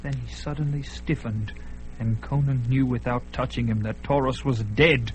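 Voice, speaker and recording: A man narrates an old-time fantasy radio play in a middle-pitched voice, building suspense line by line. Loud music runs under his words. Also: fairly even intonation, normal speed, low-quality recording. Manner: tense, building suspense with hushed and rising passages. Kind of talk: dramatic scripted radio narration.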